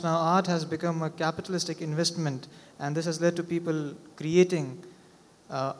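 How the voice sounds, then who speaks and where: A man in the audience asking questions in a polite, hesitant way. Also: on the high side. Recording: long hall reverb.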